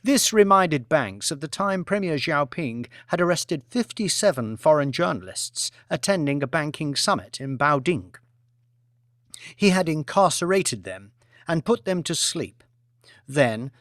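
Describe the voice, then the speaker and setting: A man reads fiction as a dramatic audiobook narrator. His voice sits a little high and moves expressively, with deliberate phrasing. The recording is very dry. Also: a bit bright, dry recording.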